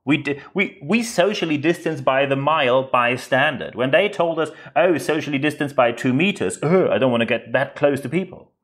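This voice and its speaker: A man's voice: mid-range voice, moderate pace, few pauses, a bit bright. A man from northern Germany with a German accent, hosting a solo podcast in his second language with an emphatic, rhetorical manner. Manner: emphatic, rhetorical questions and repetition. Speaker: podcast host. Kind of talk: unscripted solo podcast commentary.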